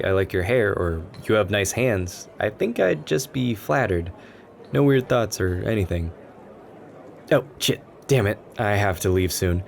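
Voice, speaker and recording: A man playing a shy, anxious and gentle young stranger who rambles self-consciously in a soft ASMR roleplay. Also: sits low. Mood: rambling, pensive, then sweet, apologetic. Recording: background ambience noise. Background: coffee shop ambience.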